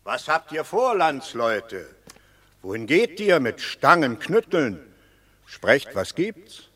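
An actor playing a wily, eloquent Roman patrician in a radio drama, theatrical and rhetorical, placating rebels with fables. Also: male voice, mid-range voice, very animated, heavily acted. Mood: urgent questioning. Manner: theatrical, deliberate, rhetorical, swinging from hushed to declaiming. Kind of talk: classical German stage-style radio drama acting. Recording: long reverberant hall.

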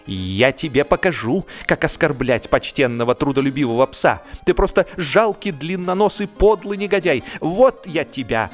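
A man's voice: on the high side, expressive, moderate pace, hardly any pauses, voice pushed up, close to an everyday voice. A male narrator plays an indignant storybook dog, full of self-importance. He growls out threats with pompous, comic outrage. Faint music sits underneath. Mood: indignant, threatening.

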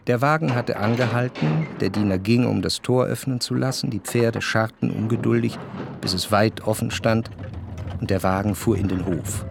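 An actor playing an introspective, yearning gentleman who narrates a literary radio drama in a measured, reflective way. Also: on the low side, creaky, background noise. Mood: composed.

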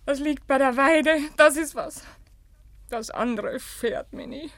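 Desperate, high-voiced young country woman. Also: slow, no room sound. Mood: agitated, bewildered. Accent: Silesian. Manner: impassioned, anguished delivery. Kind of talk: German radio play acting, dialect tragedy.

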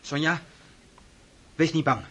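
A man's voice: on the high side, steady tone, slow pace, pushed and bright, heavily acted. An actor playing a young officer son, earnest and defiant toward his mother. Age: young adult. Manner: earnest and pleading. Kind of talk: radio drama acting.

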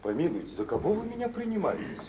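An actor playing a suave, self-assured gentleman shipowner in an old Russian radio drama, ironic and smoothly condescending, in a theatrical manner. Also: high voice, very animated, fast talker. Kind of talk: mid-20th-century Russian radio theatre acting. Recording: muffled, hissy old radio drama recording.

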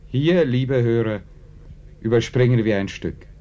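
Mellow, measured male radio narrator. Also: medium pitch. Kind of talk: scripted narration addressed to listeners. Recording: muffled old radio recording.

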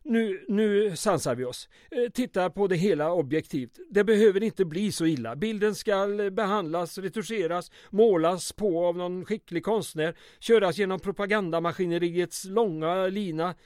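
A man's voice: high voice, few pauses, a little mellow, no room sound, studio quality, lightly acted. An actor playing a nervous, placating man with a hesitant, dryly ironic manner in an old-time radio comedy drama. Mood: reassuring. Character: nervous, placating, wryly weary male go-between.

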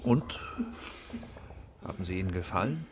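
A vain film director fleeing in a wartime radio drama. He talks in clipped replies with big swings of pitch, under loud background noise. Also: animated, measured pace. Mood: vain, expectant. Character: self-regarding male film director on the run. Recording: loud noise and clicks behind.

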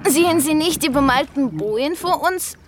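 An actress playing a lively, teasing young boatwoman in a radio drama, with a frank and playful manner. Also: high voice, moderate pace. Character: lively, teasing, practical young boatwoman who loves learning. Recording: faint water and boat noise.

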